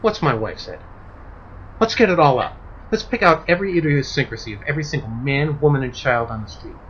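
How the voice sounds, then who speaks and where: A man's voice: mid-range voice, lively intonation, fairly quick, fairly bright tone, muffled recording, slight background noise, close to an everyday voice. A man playing a sardonic, exasperated husband standing up to suspicious neighbors in a radio play. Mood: sarcastic. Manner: biting, sarcastic, exasperated. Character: sardonic, indignant husband defying a suspicious mob.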